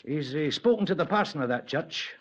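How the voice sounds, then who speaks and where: A deferential police sergeant. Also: male voice, a little high, lively intonation, a little fast, a bit bright. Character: Scottish police station sergeant, old guardsman, deferential. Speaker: actor in radio drama. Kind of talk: 1950s radio drama acting. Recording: dull lo-fi 1950s radio recording.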